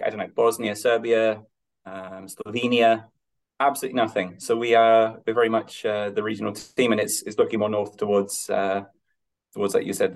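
A man's voice: lowish voice, creaky. A man with a non-Irish accent speaking as a podcast guest in casual, hesitant, rambling interview chat. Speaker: podcast guest, Gaelic games club member.

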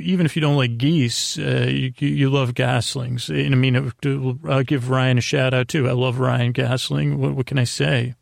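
A man hosting a bedtime podcast in a gravelly, creaky voice, meandering and halting as he trails off mid-sentence. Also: mid-range voice, no echo, studio quality. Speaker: podcast host. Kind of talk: rambling bedtime monologue to put listeners to sleep.

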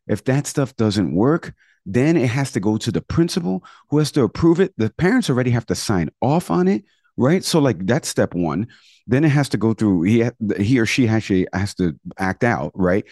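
A man's voice: mid-range voice, darkish tone, dry recording, clean studio sound. An American man co-hosting a podcast, giving casual news commentary in an emphatic way, often rephrasing his points. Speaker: podcast co-host.

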